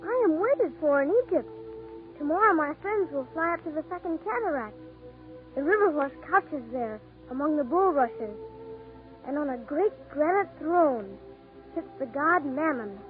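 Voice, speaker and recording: A high, gentle little swallow, speaks in wistful, formal, poetic lines. It is 1940s radio acting, heard through a thin vintage broadcast with faint music behind. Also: female voice, expressive, moderate pace, phone-quality sound. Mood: eager, dreamy. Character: small, devoted, gentle swallow, wistful storyteller.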